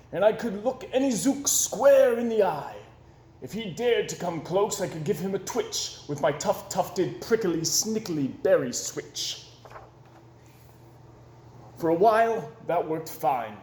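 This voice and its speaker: A man reading a rhyming children's book aloud with an expressive, sing-song delivery, in an echoey room. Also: high-pitched, slow pace. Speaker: storyteller.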